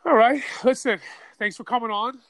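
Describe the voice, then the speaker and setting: A man hosting a casual sports podcast, excitable and slangy, sounding sniffly from a cold. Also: very high voice, moderate pace, dry recording. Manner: excitable, slangy, says "bro" a lot. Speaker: podcast host. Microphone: podcast microphone.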